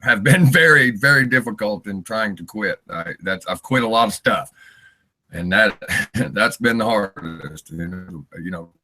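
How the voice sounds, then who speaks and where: A raspy-voiced man of fifty, a singer rambling candidly in a live interview and laughing often. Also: fairly low pitch, lively intonation, moderate pace, pushed and bright, slight background noise. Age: 50s.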